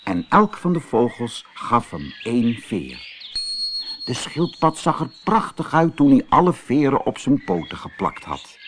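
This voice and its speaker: A man's voice: medium pitch, slight background noise. A man reading a children's fairy tale expressively and without hurry, as a storyteller. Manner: expressive, unhurried read-aloud.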